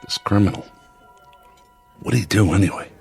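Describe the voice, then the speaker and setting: A man's voice: on the low side, moderate pace, gravelly, a little mellow, soft background music. A man playing a folksy, inquisitive diner proprietor in a radio drama. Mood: nosy, easygoing. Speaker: actor. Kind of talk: old-time radio drama acting.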